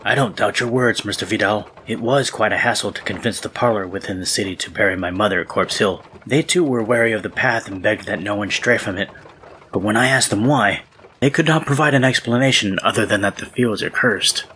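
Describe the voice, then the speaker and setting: Man as a bright-voiced, uneasy gentleman. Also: on the low side, a little fast, a little hiss or hum, rough recording. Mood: wary. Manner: polite, formal. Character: uneasy wealthy gentleman, polite but needling. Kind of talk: audio drama acting.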